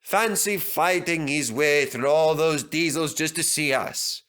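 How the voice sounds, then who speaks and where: A man's voice: on the high side, unhurried, fairly bright tone. A man voicing a grumbling, fierce-hearted steam engine in a children's story, blunt and emphatic. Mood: admiring.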